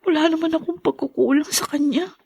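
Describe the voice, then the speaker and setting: Radio drama heroine: troubled young working mother, earnest and yielding, high voice, dry close recording. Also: brisk, creaky, darkish tone, no room sound. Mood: despairing. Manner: hesitant, pleading, earnest. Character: long-suffering, meek young working mother, devoted, troubled. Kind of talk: Tagalog radio drama acting.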